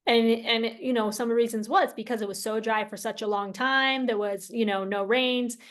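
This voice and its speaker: A woman's voice: on the high side, fairly even intonation, moderate pace, fairly bright tone. A woman hosting an interview as a casual chat, informal and emphatic, repeating phrases for emphasis. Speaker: interview host.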